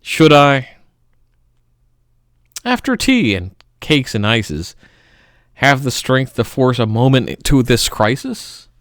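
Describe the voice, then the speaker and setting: A man giving a theatrical, melodramatic poetry reading, swinging between loud outbursts and hushed murmurs. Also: medium pitch, big pitch swings, slow, long pauses, dry recording, studio quality. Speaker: poetry reader.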